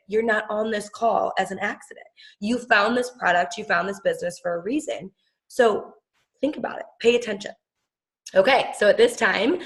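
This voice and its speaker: A woman's voice: mid-range voice, fairly bright tone. A woman hosting a business call, giving an emphatic, persuasive motivational talk and introducing her guest. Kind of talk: motivational pitch.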